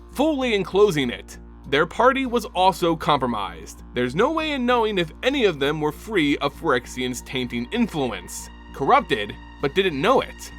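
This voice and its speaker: A man with a bright, ringing voice narrates a fantasy tale with a dramatic storyteller's delivery, his pitch rising and falling widely. He speaks to his viewers as an easygoing channel host, with faint music playing underneath. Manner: addresses viewers casually as "guys". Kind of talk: dramatic lore narration and recap.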